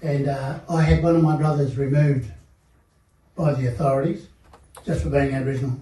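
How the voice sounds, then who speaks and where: An older Australian man speaking as a panel guest at a seminar, telling his life story in a measured, reflective way with many pauses and fillers. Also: mid-range voice, fairly even intonation, mellow, a little hiss or hum. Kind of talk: personal testimony at a seminar panel. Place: seminar hall. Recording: echoey room, mic at a distance.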